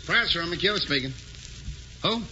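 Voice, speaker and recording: An excitable press-room reporter in a 1940s radio play. His voice sits a little high and comes across eager, and the old broadcast has clear hiss and a dull, muffled sound. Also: measured pace. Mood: coaxing.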